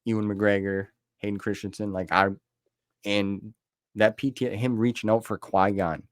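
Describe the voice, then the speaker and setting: A man's voice: fairly low pitch, level tone, moderate pace, no room sound, studio quality. A man hosting an interview podcast, chatting casually in an informal, easygoing way.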